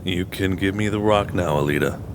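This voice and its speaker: Man acting a low, deadpan gunslinger. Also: normal speed, natural voice. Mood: dry. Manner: deadpan, unruffled. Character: calm, dry-witted male gunslinger sidekick. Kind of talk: audio drama acting. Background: loud rumbling noise.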